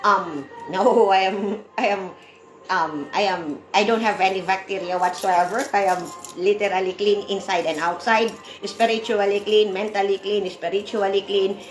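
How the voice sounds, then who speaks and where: A woman's voice: medium pitch, fairly even intonation, moderate pace, roomy sound, soft background music, poor audio. A woman in her forties from the Philippines with a Filipino accent, chatting loosely to viewers on her livestream, laughing often. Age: 40s. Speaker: livestream host. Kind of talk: casual livestream chat with viewers.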